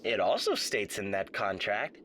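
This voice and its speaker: Suave, menacing demon, wildly swooping voice. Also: male voice, lowish voice, wildly swooping pitch, sharp tone, a little hiss or hum, exaggerated voice. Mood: gloating threat. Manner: mock-polite, pompous, drawn-out, taunting delivery. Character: suave, menacing male radio demon, theatrical and condescending. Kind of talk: theatrical roleplay monologue to the listener.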